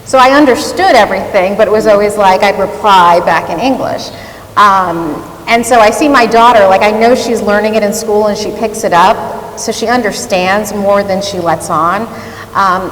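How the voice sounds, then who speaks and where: A woman news anchor speaks on a panel in a large hall, lively and chatty, voicing the people in her stories. Her pitch sits mid-range, and long reverb with a low rumble surrounds her. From New York. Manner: animated, acts out remembered exchanges, laughs easily. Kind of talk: panel discussion, personal storytelling. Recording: echoey hall, faint rumble.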